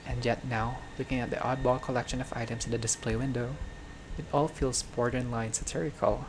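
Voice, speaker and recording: A video game player reading a visual novel aloud as narration, with casual personal asides and expressive delivery. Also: medium pitch, fairly quick, background noise. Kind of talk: playthrough narration with casual reactions. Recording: music behind the voice. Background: game music.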